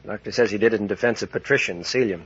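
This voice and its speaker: An actor playing a steady, wary expedition captain in a 1940s radio adventure, terse and matter-of-fact. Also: male voice, on the low side, racing, slightly rough. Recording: muffled vintage 1940s radio recording.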